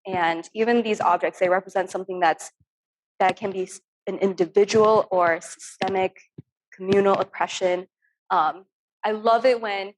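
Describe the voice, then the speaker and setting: A woman's voice: medium pitch, low-quality recording. Young woman, from Turkey, artist, earnest, lots of ums, video call audio. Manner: frequent ums, thoughtful and earnest. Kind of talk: casual talk about her artwork. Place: home room on a video call.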